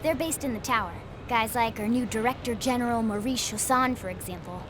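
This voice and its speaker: A woman's voice: a little high, fairly quick, background noise, close to an everyday voice. A woman voicing a perky, eager young female military tour guide in a video game cutscene, bubbly and playful. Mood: excited.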